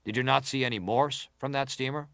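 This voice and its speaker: A senator leading a historical inquiry. He puts his questions formally and deliberately, probing for detail. His voice is of average male pitch, recorded with no room sound. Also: fairly even intonation, vocal fry, a bit bright, no room sound, clean studio sound. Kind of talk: dramatized reading of historical testimony.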